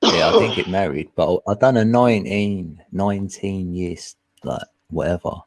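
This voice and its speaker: A man's voice: deep voice, moderate pace. A man in his late forties chatting casually on a live stream, laid-back and easygoing with frequent chuckles. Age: 40s.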